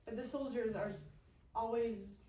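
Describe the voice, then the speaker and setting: A young woman from Switzerland, not a native English speaker, giving a halting personal account as a student speaker at an event. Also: on the high side, steady tone, dark tone. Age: young adult. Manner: halting, pausing mid-phrase. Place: indoor event room at a college. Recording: roomy, distant mic, faint noise.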